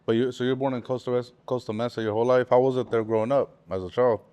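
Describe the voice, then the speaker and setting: A man's voice: mid-range voice, flat delivery, fast talker, mellow, clean tone. A man interviewing a guest casually, with brief reactions and slangy follow-up questions.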